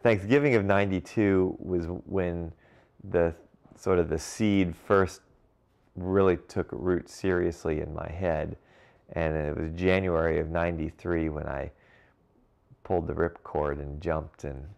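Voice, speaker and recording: A man's voice: low-pitched, steady tone, measured pace, creaky, a little mellow. A middle-aged man, interview guest, telling his story in an easygoing conversational way. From grew up in Cincinnati and Brussels. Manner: easygoing, uses fillers and restarts, occasional light laughter. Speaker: interview guest, brewery founder.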